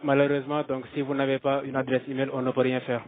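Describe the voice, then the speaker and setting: A man's voice: mid-range voice, completely flat delivery, fast. A male telemarketer on a sales phone call, speaking in a polite, procedural way. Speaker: telemarketer booking energy renovation appointments. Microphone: telephone call. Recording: dull lo-fi phone call audio.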